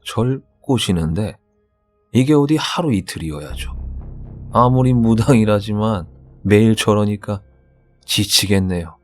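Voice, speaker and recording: A man's voice: lowish voice, a little slow, faint music behind, lightly acted. A man playing a sly, candid shaman in a horror radio drama, speaking in a knowing, conversational way with dramatic emphasis. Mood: weary, grumbling. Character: sly, candid shaman confiding about vengeful ghosts.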